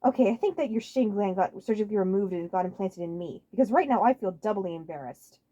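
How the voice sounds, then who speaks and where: A woman's voice: medium pitch, fast, dark tone, dull audio, lightly acted. A woman voicing a grumpy, sarcastic, embarrassed cartoon man with an exasperated, grumbling manner, in a fan fiction story.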